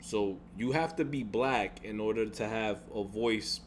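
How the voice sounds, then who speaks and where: A young man with a deep voice vents in a home-made gaming vlog. He rambles, repeats himself and swears, and a faint electrical hum sits under his speech. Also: unhurried. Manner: frustrated, rambling with frequent restarts and swearing. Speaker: gaming YouTuber. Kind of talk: casual venting vlog.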